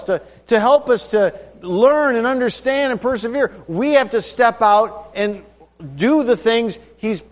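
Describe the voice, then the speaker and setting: A man with a high voice preaches a sermon, talking to his listeners like a neighbor and asking them one question after another. His voice carries through a hall with a long reverb. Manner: conversational preaching with rhetorical questions.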